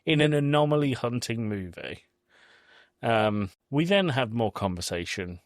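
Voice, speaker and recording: A man's voice: medium pitch, expressive, measured pace, no room sound, clean studio sound. A man co-hosting a podcast, chatting casually about a film. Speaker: podcast co-host.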